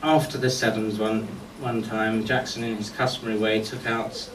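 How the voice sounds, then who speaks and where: A man delivering a eulogy full of anecdotes, telling stories in short phrases with deliberate pauses. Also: lowish voice, level tone. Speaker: friend giving a memorial tribute. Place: golf club function venue at a memorial service. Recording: echoey distant mic with background noise.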